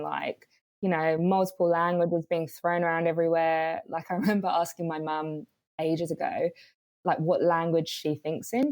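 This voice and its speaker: A woman's voice: low-pitched, no room sound. A woman raised in London whose first language was Swahili. She is a podcast guest, chatting casually over a video call and laughing as she tells stories. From London, England. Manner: animated, laughs while telling stories. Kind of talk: casual conversation.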